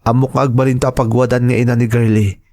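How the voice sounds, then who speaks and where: A man's voice: mid-range voice, flat delivery, quick pace, dark tone, close to an everyday voice. Man acting an earnest young devoted son and lover. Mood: earnest, pleading. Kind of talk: dramatized audio story acting.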